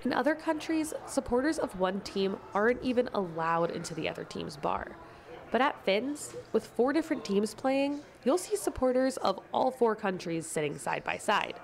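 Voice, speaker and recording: A woman's voice: a little high, lively intonation, normal speed, creaky. A woman reporter reading scripted radio feature narration in a mid-range voice.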